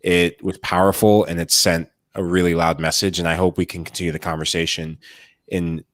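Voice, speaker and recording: A man, a former pro player on a podcast panel, speaking earnestly and in measured phrases. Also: sits low, little pitch movement, a bit bright, dry recording. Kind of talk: earnest speech.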